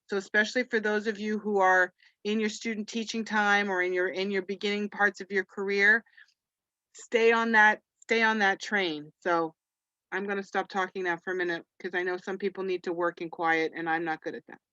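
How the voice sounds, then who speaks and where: A chatty woman from Canada, French her first language, hosting a teachers' webinar. She rambles warmly through asides, leaning on "you know" again and again. Her voice sits at a middling pitch and comes through a dull, dry video-call line. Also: fairly even intonation, moderate pace, no room sound. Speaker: webinar host. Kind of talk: casual webinar talk to teachers.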